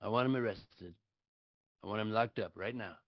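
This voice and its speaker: A man's voice: on the low side, normal speed, no room sound, muffled recording, close to an everyday voice. A man at a live table read playing a self-important, blustering politician who is brusque, boastful and profane. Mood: agitated, demanding.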